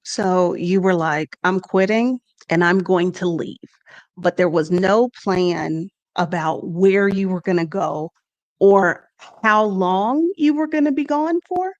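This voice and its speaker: A woman hosts an interview. Her voice sits a little low for a woman. She asks her questions in a calm, measured way. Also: lo-fi sound. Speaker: host.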